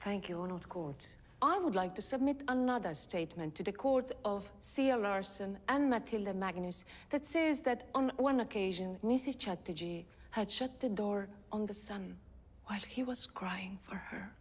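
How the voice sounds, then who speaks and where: A woman's voice: medium pitch, lively intonation, close to an everyday voice. An actress playing a stern female prosecutor. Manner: brisk, formal, accusing. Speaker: opposing lawyer. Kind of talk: film courtroom drama.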